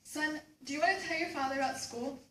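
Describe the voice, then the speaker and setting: A woman's voice: high voice, quick pace, sharp tone. A woman acting an exasperated mother prodding her family in a stage reading. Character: exasperated mother prodding inattentive husband and son. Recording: echoey room, distant mic, faint noise.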